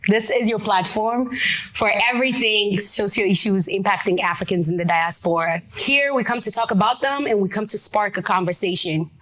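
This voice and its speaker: A woman's voice: medium pitch, a bit bright, rough recording. Chatty woman hosting a talk show. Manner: chatty, direct, with quick asides. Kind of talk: casual talk show discussion.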